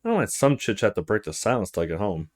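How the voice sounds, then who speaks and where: A man's voice: fairly low pitch, sing-song, fast, pitched below the performer's own voice. A man playing a reserved, polite young student in a visual novel, with a hesitant, thoughtful manner. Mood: reluctant. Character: reserved young male student, wary, polite, inwardly musing.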